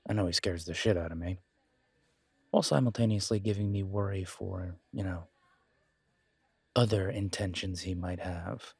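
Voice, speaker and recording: A man playing a loyal young male werewolf alpha in an audio roleplay, wry and protective, with a low-key, halting delivery. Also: fairly even intonation, creaky, darkish tone, husky, no room sound, exaggerated voice. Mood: wry, teasing.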